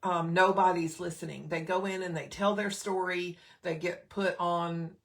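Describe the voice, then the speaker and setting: A middle-aged woman hosts a casual live stream, her throat still a little scratchy. She talks in a fairly low, relaxed voice, chatting along with plenty of fillers and pausing to greet viewers by name. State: slightly scratchy throat, clearing it often. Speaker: nurse and health educator hosting a live stream.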